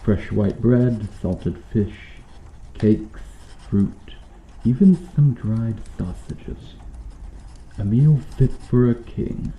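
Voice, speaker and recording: A man playing a bitter, sardonic medieval knight in a slow, intimate ASMR roleplay, speaking deliberately with quiet menace. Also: fairly low pitch. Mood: cold mockery, then bitter resentment. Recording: roomy echo with faint noise.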